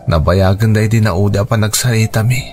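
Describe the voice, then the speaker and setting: A man's voice: fairly low pitch, moderate pace, darkish tone, lightly acted. A man with an Ilocano accent playing a lovesick, hardworking young farmer who broods anxiously, in a radio drama. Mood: wistful, brooding. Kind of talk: Filipino radio drama acting. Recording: music under the voice.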